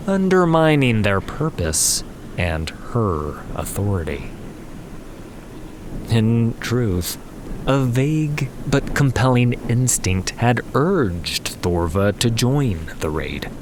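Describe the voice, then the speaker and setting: A man with a low-pitched voice narrates a fantasy tale. His delivery is slow, measured and solemn, with faint ambience running behind the words. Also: noisy background. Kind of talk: scripted fantasy story narration.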